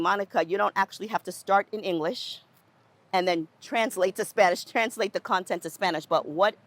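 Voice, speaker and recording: A woman's voice: mid-range voice, fairly bright tone, dry recording. A middle-aged woman speaking earnestly in conversation, thinking aloud with restarts and questions like "right?". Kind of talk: conversational reflection.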